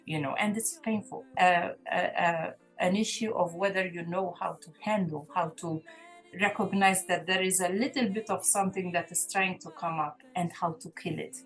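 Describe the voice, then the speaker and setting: A woman's voice: lowish voice, fairly even intonation, moderate pace, soft background music. A woman, a gospel singer speaking as an interview guest in an earnest, reflective way, often restarting her sentences. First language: Maltese. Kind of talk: casual interview chat.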